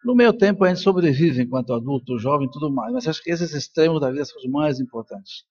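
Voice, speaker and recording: A man's voice: on the high side, lively intonation, quick pace, darkish tone, slightly rough, muffled recording. An older man from Colombia, a native Spanish speaker, giving an informal, reflective conference talk with personal stories. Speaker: neuroscientist and professor speaking at a congress.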